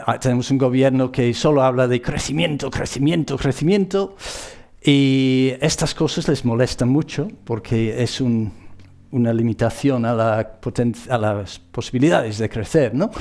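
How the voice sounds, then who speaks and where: A man from the Bristol area of England with a British accent, a native English speaker, gives a public presentation in a halting way, often repeating himself as he searches for words. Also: mid-range voice, a little mellow. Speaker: conference speaker.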